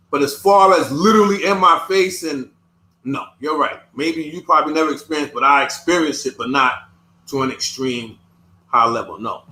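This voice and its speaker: A man who was born in the United States, talking in a casual discussion, halting and often repeating his words. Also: medium pitch, pushed and bright. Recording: echoey room.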